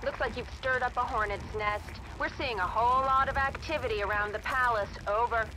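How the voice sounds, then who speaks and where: A woman's voice: fairly high pitch, a little fast. A woman playing a dry, authoritative military commander with clipped delivery over a radio in a video game. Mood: businesslike. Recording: radio noise, vehicle ambience behind.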